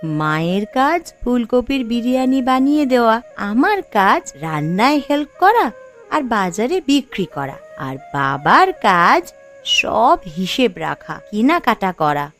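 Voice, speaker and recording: Cartoon casting: actress as bossy yet caring young daughter-in-law, slightly high voice, slow deliberate delivery, music underneath. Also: female voice, sing-song, fairly bright tone, full character voice. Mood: earnest, persuasive. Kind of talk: Bengali cartoon family drama dialogue.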